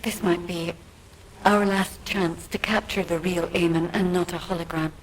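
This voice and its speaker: An actress playing a solemn, loyal guide with a measured manner in a video game. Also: female voice, lowish voice, fairly even intonation, slight background noise, lightly acted, rough recording. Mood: solemn, resolute.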